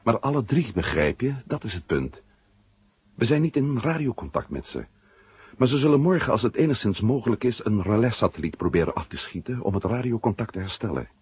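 Male journalist, confiding and wry, lowish and very lively, for a vintage sci-fi radio serial. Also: fairly quick, breathy edge, no room sound, exaggerated voice. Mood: earnest. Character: adult male journalist, blunt, wry, worried about his brother. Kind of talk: 1970 Dutch science-fiction radio drama acting.

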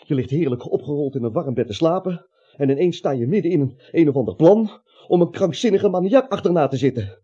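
A man's voice: on the high side, animated, fairly quick, mellow, slightly rough. A man playing a timid, grumbling businessman roused at night, stammering and protesting in a comic radio drama. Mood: flustered, grumbling. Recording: dull, lo-fi radio play recording.

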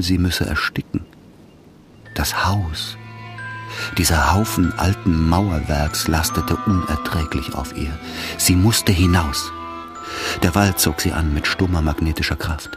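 A man narrates a literary radio drama in scripted prose. His voice is very deep, and loud music plays underneath it.

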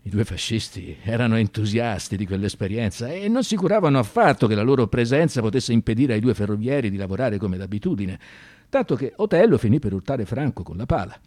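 Guy narrating a radio play, intense and theatrical, pitch all over the place, super dry studio sound. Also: sing-song, darkish tone, no room sound. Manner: intense, emphatic, with deliberate dramatic pauses. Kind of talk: dramatic scripted storytelling, theatrical radio narration.

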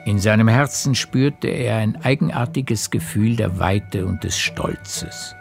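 A man narrating a radio play as a literary storyteller, with measured, deliberate phrasing. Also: on the low side, gravelly, over music.

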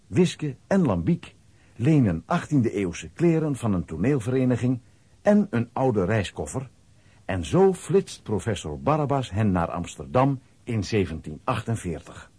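A man with a husky voice narrating a scripted children's audio play as storyteller. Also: mid-range voice, dark tone, dry recording.

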